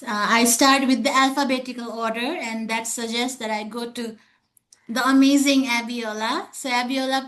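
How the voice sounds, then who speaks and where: Higher-pitched woman hosting a panel. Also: fairly bright tone. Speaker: host of a virtual talk session. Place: online video call.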